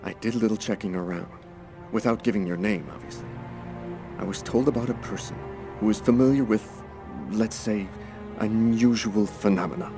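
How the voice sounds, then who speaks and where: An actor playing a concerned, sincere man giving gentle advice in a video game. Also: lowish voice, normal speed, music in the background, lightly acted.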